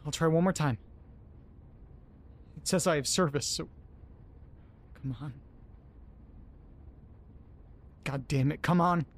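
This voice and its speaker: A man acting in an audio drama as a frightened, hungover man alone in the dark, halting and repeating himself as he swears. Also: on the high side. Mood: anxious, frustrated.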